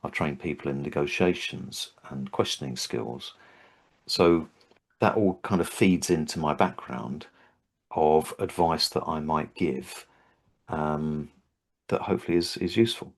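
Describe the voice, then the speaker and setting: A man with a very deep, low voice, older, talking through his training work in a relaxed interview. He restarts his sentences often and thinks aloud, hesitant but friendly in tone. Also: measured pace, gravelly. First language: English. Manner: thoughtful, hesitant with frequent restarts. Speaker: Havening trainer and host. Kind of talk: casual interview chat.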